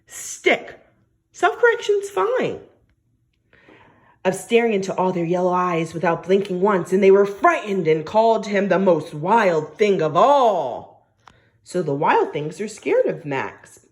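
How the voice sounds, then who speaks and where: Expressive woman teacher reading slowly to children. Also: medium pitch. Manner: expressive, questioning, coaxing. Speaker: primary school teacher. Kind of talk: read-aloud lesson for young children.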